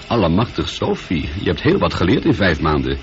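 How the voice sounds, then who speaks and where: Wildly expressive, grumbling, sardonic astronaut. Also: male voice, low-pitched, a little fast. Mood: wry, sardonic. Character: weary, irritable, proud middle-aged male astronaut alone aboard. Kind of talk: scripted radio play acting. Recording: dull old radio recording, faint noise.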